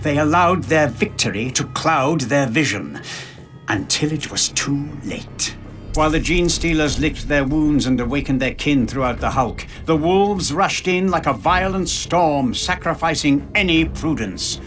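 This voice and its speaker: A man playing a grave, knowing storyteller, giving scripted dramatic narration for a war game in a measured, portentous manner. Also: on the high side, expressive, fairly bright tone, soft background music. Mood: grave, foreboding.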